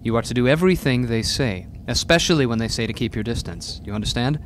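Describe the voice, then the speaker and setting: A man playing a stern, weary middle-aged father and prosecutor in a radio drama, speaking in a measured, admonishing way. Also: mid-range voice, animated, a little hiss or hum, lightly acted.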